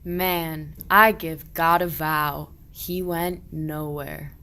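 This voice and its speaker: Man playing a slow, bright-voiced blunt shepherd. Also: a little high, steady tone, creaky, clear, resonant tone, exaggerated voice. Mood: suspicious. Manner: theatrical, declaiming rhymed verse. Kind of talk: verse radio play acting of a medieval comedy.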